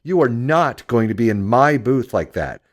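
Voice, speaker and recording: A man's voice: medium pitch, animated, normal speed, pitched above the performer's own voice, close to an everyday voice. A man voicing a formidable, bossy older Italian grandmother in an audiobook, scolding and commanding. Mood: stern, scolding.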